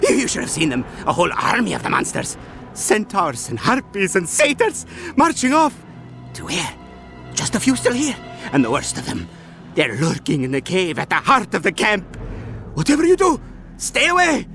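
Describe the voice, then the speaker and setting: Terrified nutty survivor in a fantasy game, bright strained voice, pitch all over the place. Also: male voice, wildly swooping pitch, normal speed, soft background music, heavily acted. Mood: hysterical, fearful. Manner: theatrical, erratic, panicky. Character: frantic, half-mad terrified male survivor of monsters. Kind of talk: scripted fantasy game acting.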